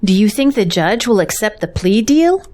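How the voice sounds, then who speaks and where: An actress playing an anxious, fretful pastor's wife in an audio drama, worried and emotional. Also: female voice, mid-range voice, very animated, normal speed, a little mellow, lightly acted.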